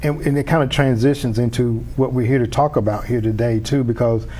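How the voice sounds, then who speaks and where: A man's voice: medium pitch, steady tone, a little fast, mellow. A man hosting a community TV interview, chatty and enthusiastic, often restarting his sentences. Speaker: host.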